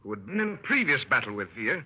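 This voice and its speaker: Animated, husky 1930s radio adventurer. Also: male voice, mid-range voice, fast. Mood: eager storytelling. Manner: wry, animated storyteller. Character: plucky gentleman adventurer telling his dangerous exploits. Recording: muffled 1930s radio broadcast.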